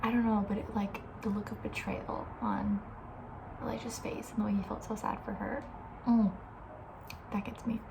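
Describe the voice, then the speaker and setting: A young woman with a soft, breathy voice, often near a whisper, chatting casually and with playful opinions in an ASMR style. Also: medium pitch, flat delivery, normal speed, background noise. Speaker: ASMR video creator.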